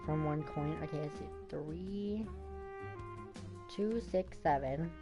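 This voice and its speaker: A woman with a fairly low voice streams a game over clearly audible music. She thinks out loud in a rambling way, using slangy, informal wording as she comments on her play. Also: takes it slowly. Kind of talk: casual gameplay commentary.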